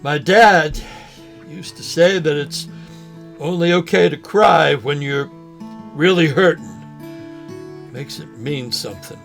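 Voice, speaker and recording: Slow-talking, sentimental old man. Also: fairly high pitch, creaky, fairly bright tone, faint music behind, exaggerated voice. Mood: tender, wistful. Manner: slow, halting, folksy. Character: ailing old man, sentimental lifelong fishing buddy, gently joking. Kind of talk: audio drama acting, folksy dialogue.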